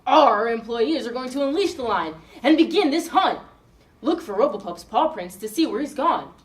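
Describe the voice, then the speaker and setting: A woman's voice: a little high, lively intonation, moderate pace, lightly acted. A woman playing a chirpy toy-store announcer. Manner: bright salesy announcing.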